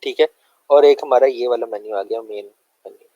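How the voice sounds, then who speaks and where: A man's voice: medium pitch, brisk, dark tone, no echo, highs cut off. A man with a sore throat, giving a loose, conversational screen-share lesson full of fillers. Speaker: web development tutor.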